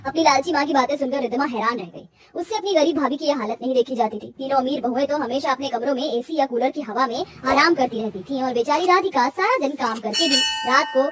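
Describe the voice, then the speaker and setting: High-pitched woman narrating a story. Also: noisy background. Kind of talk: scripted cartoon moral-story narration.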